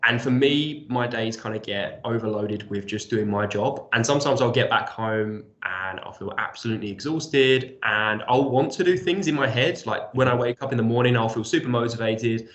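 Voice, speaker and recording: A man's voice: medium pitch, normal speed, hardly any pauses, a bit bright, lo-fi sound. A man hosting an interview podcast, speaking with a cold and rambling a little, with many fillers and repeated words. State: has a cold. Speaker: podcast host. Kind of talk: casual interview chat.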